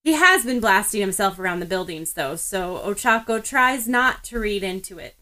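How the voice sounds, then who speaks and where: A woman's voice: mid-range voice, animated, moderate pace, bright, forceful tone, poor audio. Female narrator, mid-range voice, reading prose fiction for a podfic. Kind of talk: scripted story narration.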